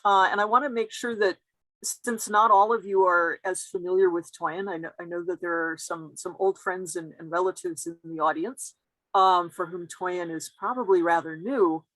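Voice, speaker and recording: A woman's voice: lowish voice, steady tone, moderate pace, fairly bright tone, no echo, low-quality recording. A woman giving a careful, explanatory academic talk as a guest lecturer over a video call.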